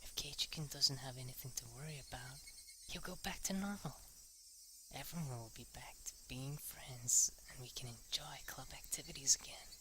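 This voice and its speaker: A man voicing a sweetly menacing teenage girl in a soft, breathy whisper. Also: medium pitch, moderate pace, background noise, lightly acted. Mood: eerie sweet.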